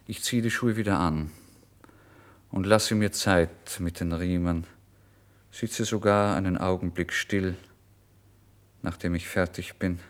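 A man's voice: low voice, fairly even intonation, takes it slowly, pauses often. An actor playing a penniless, emotional writer who recounts his misery in a theatrical, intense German radio drama reading. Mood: brooding, tense. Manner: theatrical, intense, swinging between hushed and exclamatory. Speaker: first-person narrator.

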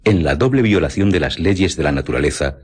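A man's voice: low-pitched, lively intonation, brisk. A man giving a formal, emphatic scripted reading of a literary essay on radio. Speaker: reader of literary quotations.

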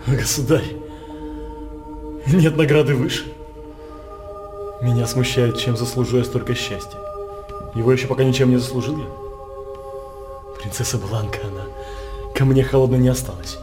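A man's voice: mid-range voice, moderate pace, long pauses, over music, natural voice. An actor playing a hopeful, lovestruck nobleman in a radio stage drama, speaking with earnest, poetic declamation. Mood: ardent, humble.

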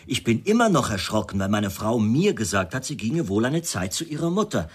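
An actor playing a wry, defensive writer suspected of murder in a German radio crime drama, answering in a pointed, evasive way. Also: male voice, mid-range voice, very animated, brisk, slightly rough. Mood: sardonic.

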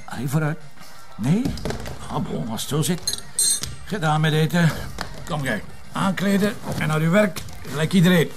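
A man's voice: on the high side, normal speed, long pauses, over music, lightly acted. An actor playing a sarcastic, grumbling police inspector and father with a Flemish accent, in naturalistic television drama dialogue. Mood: grumpy, sarcastic.

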